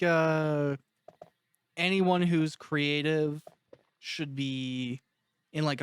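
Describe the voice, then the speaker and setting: A man's voice: mid-range voice, smooth. A man hosting a casual interview, musing informally as he puts questions and follows ideas.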